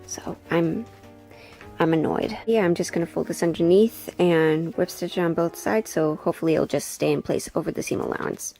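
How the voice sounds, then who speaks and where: A woman's voice: lowish voice, steady tone, normal speed, warm tone, soft background music, poor audio. A woman hosting a sewing vlog, talking in an informal, candid and self-deprecating way as she chats and narrates her project. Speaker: sewing vlogger and host.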